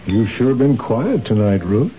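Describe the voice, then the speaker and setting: An actor playing a kind, patient husband who gently questions his wife, in a 1970s radio drama. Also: medium pitch, sing-song, unhurried, soft background music. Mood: gentle. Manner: gentle, reassuring, probing. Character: kind, patient husband, city office worker. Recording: dull old radio broadcast.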